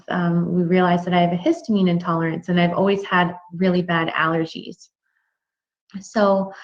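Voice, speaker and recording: Earnest woman in an old lo-fi clip. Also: fairly low pitch. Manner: earnest, hesitant, slow. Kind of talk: earnest testimonial presentation. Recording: dull lo-fi archive clip.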